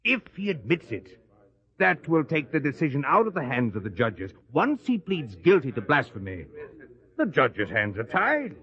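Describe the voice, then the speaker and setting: A man's voice: wildly swooping pitch, moderate pace. A man playing a cunning, commanding priestly plotter in a biblical radio drama, with a curt, sly delivery. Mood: impatient, commanding. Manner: low, curt, sly, impatient. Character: cunning, commanding male elder plotting a conviction. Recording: dull, lo-fi radio drama recording.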